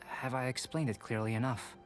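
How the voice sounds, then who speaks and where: An actor playing a calm, composed young alchemist who explains things in a measured, scholarly way. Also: male voice, mid-range voice, music in the background, close to an everyday voice.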